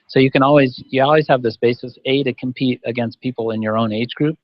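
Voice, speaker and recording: A man's voice: mid-range voice, steady tone, fast talker, dry recording. A man in his fifties answering on a panel, rambling and restarting his sentences often. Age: 50s. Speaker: masters runner guest. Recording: dull dry audio.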